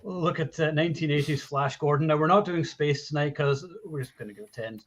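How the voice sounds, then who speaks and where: A man's voice: fairly high pitch, brisk. A man in his forties hosting a casual film discussion, talking in a rambling, enthusiastic way over lo-fi livestream audio. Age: 40s. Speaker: host of a live film discussion show.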